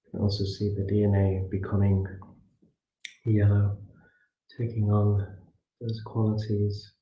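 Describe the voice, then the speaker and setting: A man leading a guided meditation as a class teacher, speaking soothingly in short phrases with pauses between them. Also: fairly low pitch, little pitch movement. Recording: muffled echoey room, distant from mic.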